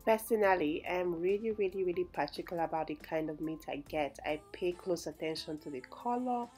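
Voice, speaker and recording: Chatty woman, low voice. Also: fairly quick, a little mellow, soft background music. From Nigeria. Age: young adult. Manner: chatty and explanatory, many "like" fillers. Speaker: vlogger. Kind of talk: casual shopping vlog narration.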